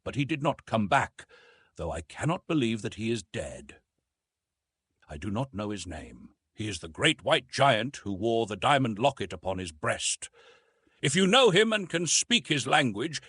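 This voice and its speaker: A man's voice: mid-range voice, fairly bright tone, breathy edge, dry recording, clean studio sound, voice pushed up. A man voicing a young woman's tender, sincere letter in an audiobook, formal and heartfelt.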